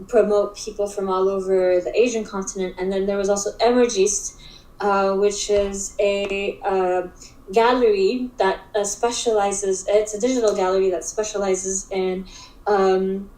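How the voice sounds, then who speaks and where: A woman's voice: medium pitch, mellow. Young woman from africa chatting in an interview, lots of likes and restarts, sounds kinda roomy. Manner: halting, filler-heavy speech with frequent restarts. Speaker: interview guest, digital art expert. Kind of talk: casual conversational interview. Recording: roomy echo.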